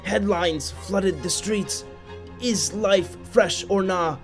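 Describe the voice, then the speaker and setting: Male narrator, slightly high voice, dramatic storytelling, solemn literary delivery, faint violin backing. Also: takes it slowly, soft background music. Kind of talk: scripted dramatic storytelling for a puppet show.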